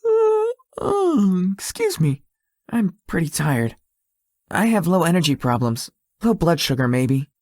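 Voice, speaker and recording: A man playing a lazy, awkward young tech founder, lively pitch. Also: takes it slowly, dry recording, clean studio sound, heavily acted. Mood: awkward, tired. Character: Sloth, lazy, sweet-toothed young male tech company founder. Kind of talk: visual novel character dialogue.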